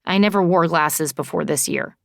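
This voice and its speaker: A woman's voice: low voice, normal speed, creaky, dry recording, clean studio sound. A woman voicing an easygoing, boyish young man, earnest and a little goofy, in audiobook dialogue. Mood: offhand.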